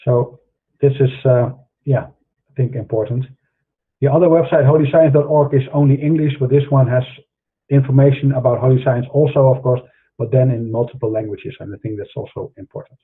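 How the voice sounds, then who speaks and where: A man's voice: mid-range voice, fairly even intonation, brisk, husky, narrow, tinny audio. A middle-aged man with a Dutch accent, hosting an online show and preaching earnestly to his viewers, with many fillers and restarts. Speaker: host. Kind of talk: monologue to camera in an online show.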